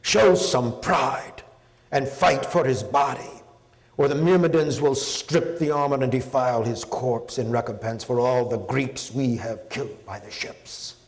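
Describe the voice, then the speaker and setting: A man's voice: medium pitch, a little slow, pitched above the performer's own voice, close to an everyday voice. A man voicing a wounded, grieving warrior in an epic poem, pleading in an impassioned, declamatory way. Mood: urgent reproach. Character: wounded, grieving warrior praying and rebuking his lord. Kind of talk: dramatic epic poetry reading. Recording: echoey hall with long reverb.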